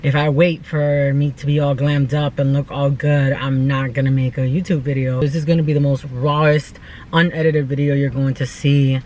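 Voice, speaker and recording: A woman's voice: a bass voice, level tone, moderate pace, mellow, slight background noise. Woman in her twenties, casual vlogger, unscripted, informal, earnest, emphatic, slangy. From United States, born in El Salvador. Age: 20s. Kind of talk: casual unscripted vlog talk to camera.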